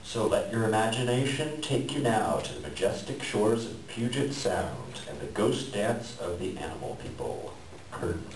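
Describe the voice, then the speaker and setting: A man with a deep voice gives a formal introduction to a staged reading. He is picked up from a distance in an echoey room with clear background noise. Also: big pitch swings, normal speed. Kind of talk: formal introduction and read author's note. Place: library room, live event.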